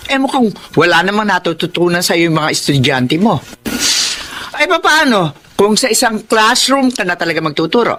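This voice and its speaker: A woman's voice: lowish voice, big pitch swings, normal speed, fairly bright tone, a little hiss or hum. A woman playing a disapproving, exasperated mother of a grown son in a drama, nagging and scornful. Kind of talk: emotional Filipino audio drama acting.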